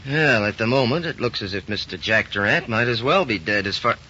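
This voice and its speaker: A man's voice: lowish voice, lively intonation, bright, forceful tone. An American man playing a determined, commanding FBI special agent in a 1940s radio crime drama. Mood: brisk, businesslike. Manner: brisk, commanding. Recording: noisy, muffled 1940s radio broadcast.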